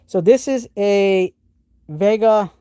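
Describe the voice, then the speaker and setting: A man's voice: sits high, slow, dark tone, smooth, no room sound, clean studio sound. A man with a New England accent hosting a live tool stream, showing off tools and answering chat in an enthusiastic, rambling way with many restarts. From New England, United States.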